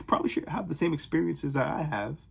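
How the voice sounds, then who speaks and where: A young man from the Boston area, vlogging in casual, sarcastic rambles that swing from loud outbursts to whispers, recorded on his phone in his bedroom. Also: a little high, fast talker, vocal fry. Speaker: fragrance vlogger. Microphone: phone camera.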